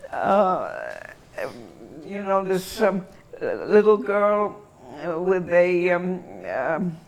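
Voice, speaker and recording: An elderly woman from Antwerp whose first language is German, reminiscing in an interview and searching for her words with long pauses. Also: lowish voice, big pitch swings, a little mellow. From Antwerp, Belgium.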